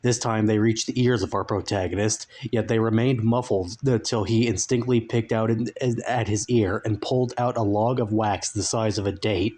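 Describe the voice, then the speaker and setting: Low-voiced man narrating a story. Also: fairly even intonation, darkish tone. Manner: deliberate phrasing, mock-solemn. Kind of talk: dramatic reading of a comic story.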